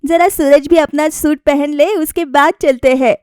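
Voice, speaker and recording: A woman's voice: high-pitched, steady tone, moderate pace, a little mellow, no echo, studio quality, natural voice. A woman voicing a bossy, unimpressed middle-aged mother-in-law in a story, brusque and instructing.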